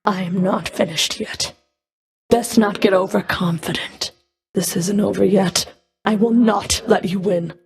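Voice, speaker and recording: A woman playing a menacing, contemptuous fury of the underworld in a video game, with a husky, breathy voice and a deliberate, threatening delivery. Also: medium pitch, steady tone, faint music behind. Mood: commanding defiance. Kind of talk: video game combat voice lines.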